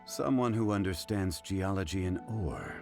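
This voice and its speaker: A man voicing a calm, learned and modest gentleman who speaks formally and courteously. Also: low-pitched, moderate pace, creaky. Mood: composed. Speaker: funeral parlor consultant. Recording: game dialogue over music.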